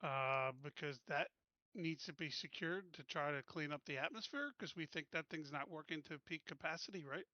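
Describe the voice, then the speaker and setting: Bright-voiced man arguing his case. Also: a little high, animated, moderate pace, no echo, muffled recording. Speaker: player. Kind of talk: casual tabletop game talk.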